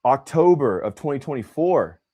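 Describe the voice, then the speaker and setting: Young male host, loose slangy livestream banter, lively pitch swings. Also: moderate pace. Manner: animated, slangy, says bro and man a lot. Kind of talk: casual livestream chat.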